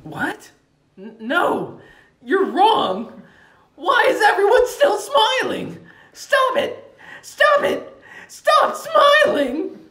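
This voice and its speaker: A man plays a foolish young king who is overwrought and emotional. His pitch swings widely and his pacing is slow. The room echoes around him in this script read-through. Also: big pitch swings, pushed and bright, heavily acted. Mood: frantic, distressed. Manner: overwrought, dramatic delivery with big swings. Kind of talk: amateur script table read. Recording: roomy echo, faint background noise.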